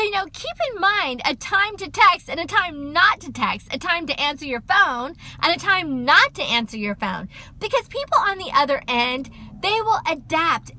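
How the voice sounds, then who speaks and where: A middle-aged woman talks loud and fast into her phone on a livestream, chatty and direct with her viewers. Her voice sits high and comes across bright and forceful. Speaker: counselor and livestream host. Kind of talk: casual livestream talk to viewers.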